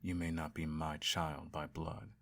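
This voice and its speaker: A man playing a self-righteous evil emperor and doting father, telling a bedtime story in a measured, grandiose way in an audio roleplay. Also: a bass voice, level tone, creaky, fairly bright tone, heavily acted. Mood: tenderly possessive.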